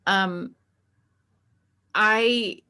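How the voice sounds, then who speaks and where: A woman's voice: mid-range voice, extremely slow, sharp tone, smooth. A woman speaking as an interview guest in a casual, conversational way, thinking aloud as she explains things. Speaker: interview guest, tabletop game master.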